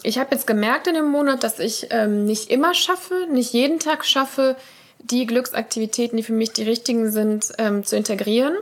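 A woman giving an earnest, measured account of her own efforts to be happier. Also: a little high. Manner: measured, earnest, slightly hesitant. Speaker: participant in a happiness experiment. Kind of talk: reflective personal account.

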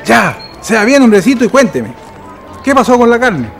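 A man's voice: very high voice, big pitch swings, moderate pace, faint music behind, some chatter behind, full character voice. A man playing a stern but caring father with a Chilean accent in a radio drama. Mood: concerned.

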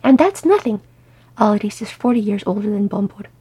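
An older dwarf wife in a storybook tale, voiced by a woman reader, sensible and affectionate. She scolds firmly and with emphasis. Her voice is husky and a little gravelly. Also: mid-range voice, steady tone, a little fast, warm tone. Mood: firm, fond.

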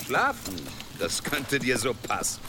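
A man playing a gruff, suspicious leader of mounted men in an audio drama, curt and contemptuous. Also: mid-range voice, wildly swooping pitch, unhurried, noisy background. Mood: suspicious contempt. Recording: outdoor ambience with horses behind.

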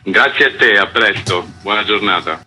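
A man's voice: fairly low pitch, moderate pace, pushed and bright, faint music behind, low-quality recording. A man who organizes a theatre festival, steadily listing program details in a radio interview.

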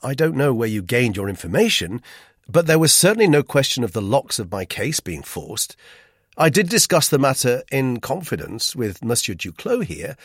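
A man voicing a formal, firm gentleman who speaks in measured, severe phrases in an audiobook. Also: a little high, very animated, husky, no room sound, studio quality, voice pushed up, exaggerated voice. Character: formal, severe first-person hero, smooth-talking peacemaker.

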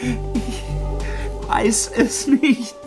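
An actor playing a frightened Soviet newspaper journalist in a radio crime drama, speaking in a halting, pleading manner. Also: male voice, extremely high pitch, steady tone, over music, heavily acted. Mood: cornered, reluctant. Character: frightened, defiant male Soviet newspaper journalist under threat.

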